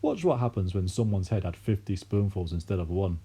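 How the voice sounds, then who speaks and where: A man's voice: deep voice, very animated, fairly quick, warm tone, husky, heavily acted. A man voicing a gleeful, mischievous father in a read-aloud story. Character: gleeful grinning husband and father.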